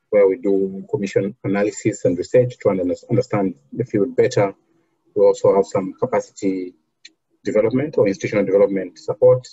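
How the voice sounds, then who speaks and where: A man from Zambia, a foundation program officer, answering interview questions in a measured way with many fillers and restarts. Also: on the low side, dark tone, slightly rough, no echo, highs cut off.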